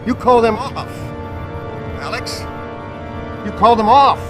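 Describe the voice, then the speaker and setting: An actor playing a flustered, indignant older American president with an American accent, blustering and folksy, in a campy game cutscene. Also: male voice, extremely high pitch, takes it slowly. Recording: loud music underneath.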